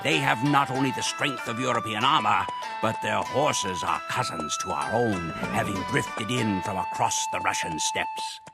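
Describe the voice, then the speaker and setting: A man playing a proud Mongol warrior who solemnly narrates grand conquests in a measured, theatrical way for a game. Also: fairly low pitch, creaky, over loud music. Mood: grave, resolute. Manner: measured, theatrical storytelling delivery. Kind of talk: dramatic scripted narration.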